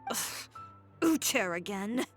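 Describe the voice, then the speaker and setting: A woman playing a headstrong, confident young fashion designer in a video game, brisk, blunt and self-assured. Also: medium pitch, big pitch swings, soft background music. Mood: resigned, irritated. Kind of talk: video game dialogue acting.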